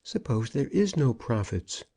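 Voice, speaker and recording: A man's voice: mid-range voice, sing-song, a little slow, dark tone, breathy edge, pitched above the performer's own voice, heavily acted. A man voicing an old, evasive shopkeeper in a piteous, pleading manner for an audiobook. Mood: pleading, defensive. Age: older. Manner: piteous, wheedling. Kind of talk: audiobook character acting.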